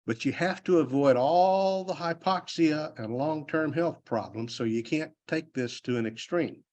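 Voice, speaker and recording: A man's voice: fairly high pitch, measured pace, darkish tone. Older Texan man, retired engineer type, folksy conference presenter, plain and rambling. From Texas. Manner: plainspoken, self-deprecating, loose and rambling. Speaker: conference speaker. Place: university convention hall.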